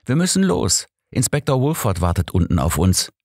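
A man's voice: fairly low pitch, sing-song, moderate pace, darkish tone, breathy edge, no room sound, studio quality, pitched above the performer's own voice, exaggerated voice. A man voicing an exasperated, sarcastic older doctor in an audiobook, grumbling drily. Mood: urgent.

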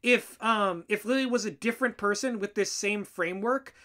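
A man speaks with a bright, sharp-edged voice in casual co-host commentary. He sounds emphatic and exasperated, with a sarcastic way of making his points. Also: high voice, moderate pace. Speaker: co-host and writer giving commentary.

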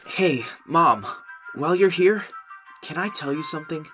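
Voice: medium pitch, lively intonation, fairly bright tone, faint music behind. A performer voicing a young male goat monster, earnest and haunted by guilt, in an amateur comic dub. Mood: casual, careful. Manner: earnest, emotionally volatile, halting when ashamed.

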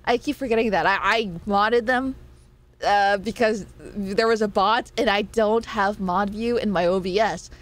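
A young American woman chatting casually with her stream viewers, animated, reading chat aloud and laughing often. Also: mid-range voice, unhurried. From United States.

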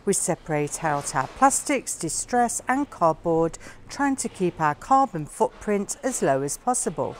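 A woman guiding a warehouse tour, explaining each area in a slow, plain way. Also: fairly low pitch, sing-song, slight background noise. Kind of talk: guided tour narration.

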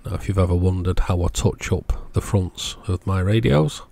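Middle-aged male host for hands-on repair videos; creaky, rough-edged voice, plain-spoken explanations in short phrases. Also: low-pitched, normal speed, dark tone. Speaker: electronics repair channel host. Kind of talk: casual workbench repair narration.

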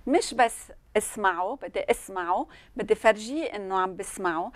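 A woman's voice: mid-range voice, lively intonation, normal speed, no echo. A middle-aged woman with a Lebanese accent explaining things conversationally on a studio panel, slipping in French and English words. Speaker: studio guest on parenting and communication. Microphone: lapel mic.

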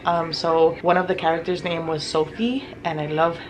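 A woman's voice: sits low, flat delivery, normal speed, background noise. A woman in her thirties chatting casually to her phone in her home kitchen, bubbly and rambling, with little giggles. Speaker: family vlogger. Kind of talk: casual vlog chat. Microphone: phone at arm's length.

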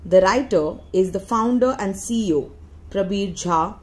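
A woman reading a newspaper article aloud in deliberate phrases with frequent pauses. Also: medium pitch. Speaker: audiobook reader.

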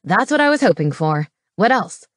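A woman voicing an easygoing young male medic in an audiobook, brisk and matter-of-fact. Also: mid-range voice, big pitch swings, no room sound, clean studio sound, natural voice. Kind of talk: paranormal romance audiobook character.

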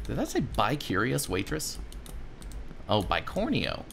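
A man's voice: mid-range voice, wildly swooping pitch, unhurried, creaky, a bit bright, a little hiss or hum. A man in his thirties giving casual, muttered, profane video game commentary. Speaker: video game streamer voicing over a game. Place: small home room. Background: game music.

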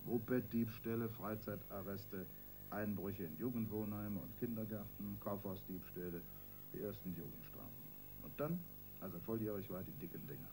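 A man's voice: lowish voice, moderate pace, noisy background, natural voice. A man playing a domineering senior police detective in a radio crime drama, mocking, condescending and emphatic. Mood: lecturing, insistent.

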